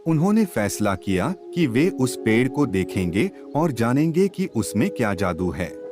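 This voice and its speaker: A man narrating a scripted children's story in a measured, expressive storybook manner. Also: mid-range voice, over music.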